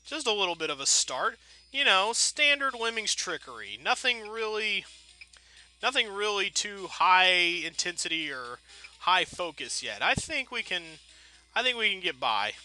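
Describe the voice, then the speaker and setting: A man's voice: high-pitched, big pitch swings, unhurried, pushed and bright, faint music behind. A man giving casual, rambling commentary as he plays a game, playful and full of folksy mild exclamations. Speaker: gameplay video host.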